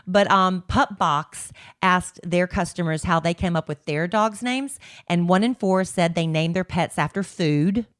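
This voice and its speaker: A woman's voice: lowish voice, a little slow, few pauses, clear, resonant tone. A middle-aged woman, co-hosting a podcast in a chatty, rambling way. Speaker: podcast co-host. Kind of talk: casual chat.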